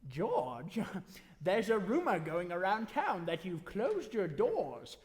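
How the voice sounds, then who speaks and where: An actor playing a scheming, smug old banker who speaks slowly and deliberately in old radio style. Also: male voice, high voice, wildly swooping pitch, heavily acted. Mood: smug, threatening. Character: scheming, menacing old male banker on telephone. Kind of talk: 1940s radio acting on stage. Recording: echoey hall, live stage taping.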